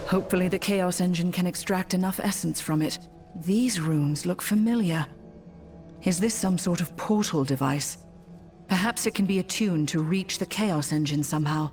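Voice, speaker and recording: A woman voicing a determined, studious necromancer in a fantasy game, serious and measured as she muses aloud. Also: on the low side, darkish tone, faint music behind, close to an everyday voice. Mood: curious. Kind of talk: fantasy game character acting.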